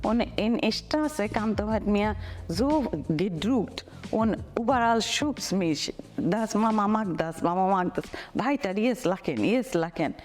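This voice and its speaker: A woman in her fifties from Bangladesh with a Bengali accent, speaking halting, expressive German in a casual chat with her daughter. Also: medium pitch, a little hiss or hum. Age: 50s. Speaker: guest, mother.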